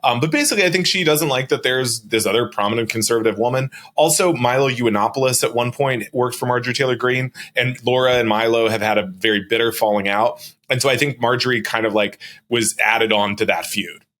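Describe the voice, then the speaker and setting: A man's voice: medium pitch, brisk, hardly any pauses, a bit bright. A man explaining background in a casual panel chat, with very colloquial, loose phrasing. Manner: filler-heavy, casual phrasing with lots of "like". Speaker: reporter and podcast co-host.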